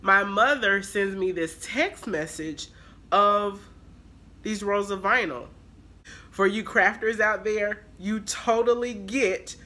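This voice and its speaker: A woman in her thirties, a vlogger telling a casual storytime straight to her viewers in a small room at home, chatty and animated. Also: mid-range voice. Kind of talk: casual storytime haul vlog. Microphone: camera close in front, talking to it.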